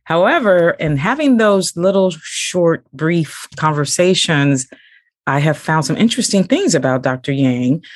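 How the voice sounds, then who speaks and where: A woman hosts a podcast, reading her guest's introduction before slipping into easy conversation. Her voice sits low but moves up and down with lively range. The sound is dry and close, and she laughs off her own small mistakes. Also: unhurried, no room sound. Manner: laughs at own slips, chatty and self-correcting. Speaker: podcast host. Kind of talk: podcast interview, reading an intro then chatting.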